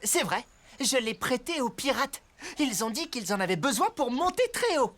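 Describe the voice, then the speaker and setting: A woman's voice: on the high side, big pitch swings, normal speed, fairly bright tone. A woman playing a jolly, chatty toy farmer in a French children's cartoon. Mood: helpful. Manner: chuckling, chatty, proud. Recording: children's cartoon music underneath.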